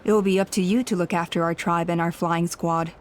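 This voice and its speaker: An actress playing a gracious, modest elderly woman who leads a clan, speaking gently and reflectively in a video game. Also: fairly low pitch, steady tone, brisk, slight background noise, lightly acted. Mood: nostalgic. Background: music.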